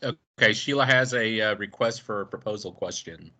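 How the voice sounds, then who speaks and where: A man moderating a conference call off the cuff, halting and searching for his words. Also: on the low side, sharp tone. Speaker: meeting moderator.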